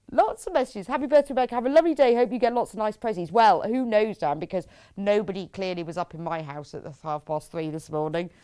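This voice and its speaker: A woman's voice: a little high, a little mellow. A middle-aged British woman presenting a live craft shopping show, chatting informally in a rambling way with lots of tag questions and reading out viewers' messages. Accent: British English.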